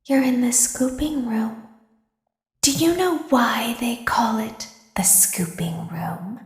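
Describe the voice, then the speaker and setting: A woman voicing an eerie, sweet and melancholy animatronic clown girl in a video game, with a measured, childlike, softly menacing delivery. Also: on the high side, animated. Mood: hushed, menacing. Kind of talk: scripted game voice acting, slow monologue. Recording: echoey, long hall-like reverb.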